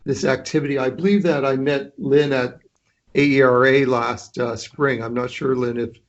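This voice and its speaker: A man's voice: medium pitch, steady tone, moderate pace. A man speaking on a conference call, hesitant and full of restarts, offering to coordinate with other deans. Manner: hesitant, many restarts and fillers. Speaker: dean, association member. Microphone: conference line. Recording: dull lo-fi call audio.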